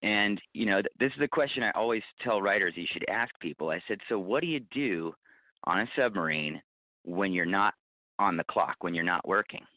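A man with a fairly low voice talks as a guest in a conversational interview. He explains things in an anecdotal way. The sound is narrow and phone-like, with very little room around it. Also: expressive, fairly quick, no room sound. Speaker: podcast producer being interviewed. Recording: phone-like narrow-band connection, dry.

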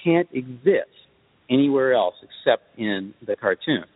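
A man answering interview questions as a guest, speaking in a measured, thoughtful way in short deliberate phrases. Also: medium pitch, animated. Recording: muffled phone-like line with reverb tail.

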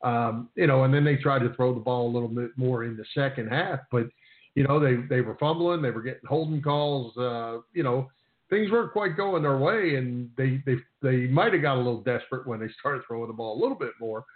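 Male sports talk co-host, mid pitch, halting conversational delivery, narrow phone-quality audio. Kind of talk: casual sports discussion. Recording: roomy, thin phone-like sound.

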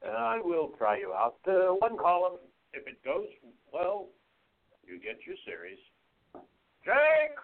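A man playing a brisk, skeptical newspaper editor in a 1920s radio play, businesslike and questioning. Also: sits high, wildly swooping pitch, gravelly, heavily acted. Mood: decisive, bossy. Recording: tinny narrow-band phone-like audio.

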